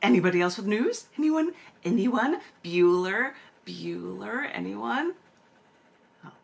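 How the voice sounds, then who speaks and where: A woman's voice: medium pitch, animated, slow, gravelly. A woman hosting a poetry open mic over a video call, talking in a chatty, rambling way with effusive thanks. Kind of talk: casual hosting of a virtual poetry meeting. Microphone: video call microphone.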